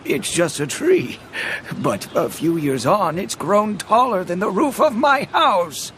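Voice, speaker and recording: A sighing old villager. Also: male voice, sits high, animated, a little hiss or hum, full character voice. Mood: aggrieved. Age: older. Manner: sighing, slow and put-upon. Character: weary old village man, aggrieved neighbor. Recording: game cutscene with loud background music.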